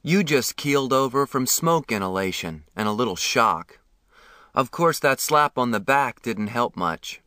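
Calm reassuring grown man, read by a male narrator, bright voice, slow delivery. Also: medium pitch, clear, resonant tone, dry recording, clean studio sound. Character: kind adult male stranger in an ambulance.

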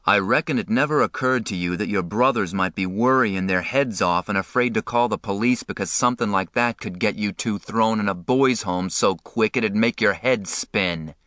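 A man reading an audiobook, voicing a harsh, exasperated older brother who scolds and shouts. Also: on the low side, lively intonation, slow pace, clean tone, no echo, studio quality, full character voice. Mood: angry, exasperated.

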